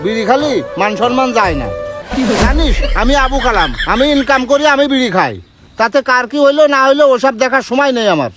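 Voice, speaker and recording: A man's voice: extremely high pitch, lively intonation, brisk, music in the background. A man playing a stubborn, defensive old father with a rural Bangladeshi accent in an amateur drama. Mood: defiant. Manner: argumentative, earthy. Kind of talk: amateur Bengali short drama acting.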